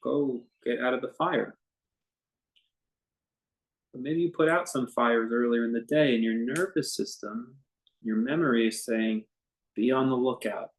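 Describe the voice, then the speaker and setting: Slow-paced man guiding a meditation. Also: medium pitch, low-quality recording. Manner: halting, gentle phrasing with long pauses. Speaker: meditation teacher. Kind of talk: guided meditation, unscripted and conversational.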